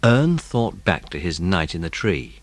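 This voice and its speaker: Deep, expressive British male storyteller. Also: a little slow, dry recording. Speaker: narrator. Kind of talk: scripted audiobook narration.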